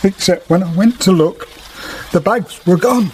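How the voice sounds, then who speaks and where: A man's voice: high voice, a little slow, a little mellow, rough recording. A humble, troubled weaver speaks. He sounds earnest and wounded, in an old-world radio play with slight noise behind him. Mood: shaken, earnest. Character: distraught robbed village weaver, earnest and humble. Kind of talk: period radio drama acting. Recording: atmospheric radio drama, faint background noise.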